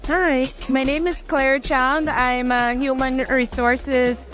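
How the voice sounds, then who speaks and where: A woman's voice: high voice, level tone, slow pace, fairly bright tone, soft background music. A woman from Ghana, a human resources practitioner, giving an earnest, fluent soundbite as an advocate. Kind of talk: recorded soundbite.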